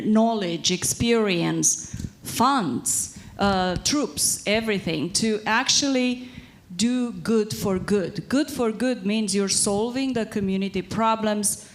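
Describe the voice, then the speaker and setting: A woman's voice: medium pitch, a little hiss or hum. A woman on a live panel, making her points one after another in an emphatic way. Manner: emphatic, makes points in sequence. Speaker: panel speaker.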